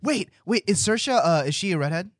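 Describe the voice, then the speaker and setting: A man's voice: high voice, animated, a little fast, dry recording, clean studio sound. A man co-hosting a podcast, bantering casually with friends and tossing in joking questions and interjections. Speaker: podcast co-host.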